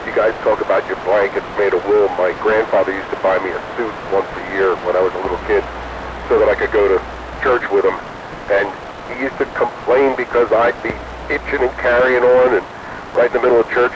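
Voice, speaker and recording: A man telling stories casually in a chat over two-way radio. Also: lowish voice. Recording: dull, noisy two-way radio.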